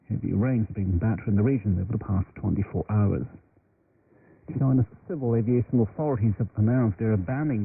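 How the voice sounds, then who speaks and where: A man reading scripted news bulletins on the radio. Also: lowish voice. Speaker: newsreader. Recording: muffled shortwave radio with faint noise.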